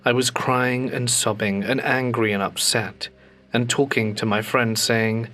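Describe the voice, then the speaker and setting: A man narrating scary bedtime stories as a channel host, reading calmly with slow, drawn-out pauses. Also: medium pitch. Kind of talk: scripted storytelling for falling asleep.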